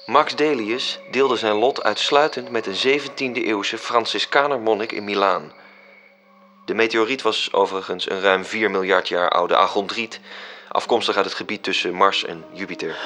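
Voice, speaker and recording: An actor playing a coolly self-satisfied angel who recounts his schemes in a measured, precise radio drama narration. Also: fairly low pitch, pushed and bright, a little hiss or hum. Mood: cool, matter-of-fact.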